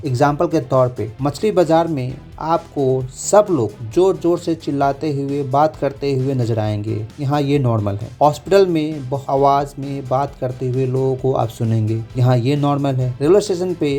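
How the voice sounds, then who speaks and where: A man's voice: on the high side, dark tone. A man with an Indian accent reading a scripted explainer in a didactic way, mixing in English terms. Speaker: presenter, lawyer explaining public issues.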